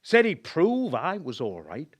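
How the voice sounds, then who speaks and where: An actor playing a haunted former cab driver telling a grim memory in a radio play, halting and emotive. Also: male voice, a little high, huge pitch swings, heavily acted. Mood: bitter, reminiscing. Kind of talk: dramatic radio play monologue.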